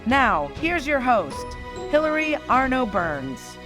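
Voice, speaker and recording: A woman announcer reading a scripted show introduction in a lively promotional manner. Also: high-pitched, slow. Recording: voice-over with clear music bed.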